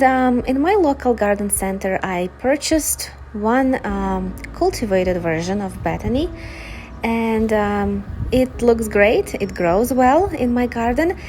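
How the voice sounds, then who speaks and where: A woman's voice: a little high, darkish tone, slight background noise, low-quality recording. A woman with a Ukrainian accent, a native Ukrainian speaker, hosting an informal, explanatory gardening vlog with enthusiasm. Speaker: gardening video host.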